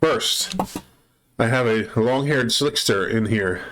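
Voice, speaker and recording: A man's voice: medium pitch, slow, a bit bright. A man with a chest cold, hosting a let's play and methodically explaining his base repairs step by step. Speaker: gaming channel host.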